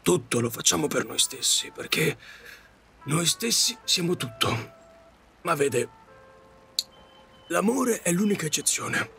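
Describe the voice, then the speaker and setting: A man's voice: on the high side, very animated, creaky, bright, forceful tone, soft background music, exaggerated voice. Man acting grieving, regretful widowed taxi driver. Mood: earnest, reflective. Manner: halting, confessional, with repetitions. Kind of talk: Italian audio drama monologue.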